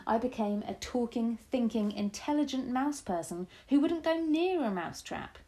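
Woman acting an excitable, clever young mouse-boy. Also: a little high, moderate pace, pitched above the performer's own voice. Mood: worried, earnest. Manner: excitable, earnest exclamations. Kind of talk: storybook read-aloud.

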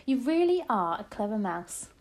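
A woman's voice: a little high, sing-song, normal speed, voice pushed up. A woman voicing an elderly grandmother who is loving, resourceful and emphatic, in a storybook reading. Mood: urgent, caring.

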